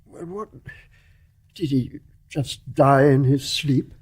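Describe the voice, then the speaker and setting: An actor playing a kindly, flustered older English major in a period radio drama, halting and repeating his words. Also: mid-range voice, darkish tone, breathy edge. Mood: shocked, kindly. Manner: halting, stammering repetitions. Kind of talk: stage-play radio drama acting.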